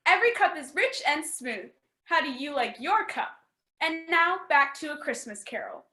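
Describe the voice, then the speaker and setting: A woman announces a radio play in scripted old-time broadcast style. Her pitch is high and very animated, and the room adds noticeable echo. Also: moderate pace. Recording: roomy, echoing voice recording.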